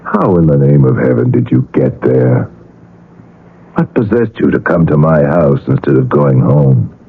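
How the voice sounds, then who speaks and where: An actor playing an anxious, possessive middle-aged father in a 1970s radio drama, earnest and halting as he pleads. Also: very deep voice, expressive, slightly rough, exaggerated voice. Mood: urgent, persuading. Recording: muffled vintage radio broadcast.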